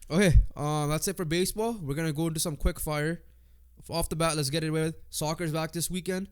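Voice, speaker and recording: Congested man, smooth clear voice, sports podcast co-host, halting list reading. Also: a little high, steady tone. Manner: reads off lists haltingly with fillers. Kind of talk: casual sports chat.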